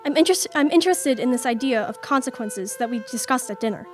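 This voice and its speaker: An actress plays a determined young woman writer in a radio drama. Her voice is high, earnest and formal, with proud intensity. Faint music runs underneath. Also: animated, fast talker, close to an everyday voice. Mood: eager. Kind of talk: radio play acting.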